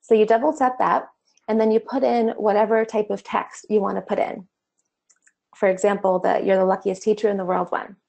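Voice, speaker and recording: A woman talks through a screen-share lesson in a relaxed, chatty way, stopping now and then with an "um". Her voice has a gravelly edge and sounds a little worn, as if she is starting to lose it. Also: medium pitch, brisk. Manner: casual, conversational explaining with fillers. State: slightly losing her voice. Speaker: online course instructor. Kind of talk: screen-share tutorial walkthrough.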